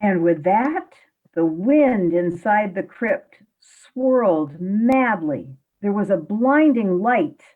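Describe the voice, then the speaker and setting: A woman narrating a radio play in a calm, deliberate storytelling manner. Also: medium pitch, a little hiss or hum. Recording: tinny phone-like audio.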